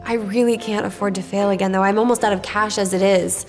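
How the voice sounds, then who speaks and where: A woman's voice: medium pitch, fairly even intonation, fast talker, faint music behind, lightly acted. A woman acting as an earnest, discouraged young baker in a scripted inspirational short drama, hesitant and unsure. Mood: doubtful.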